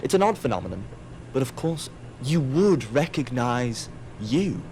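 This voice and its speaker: A man narrates an audio drama in a middle-pitched voice. His delivery is wry and theatrical, with faint background noise beneath it. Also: big pitch swings, measured pace, a little mellow.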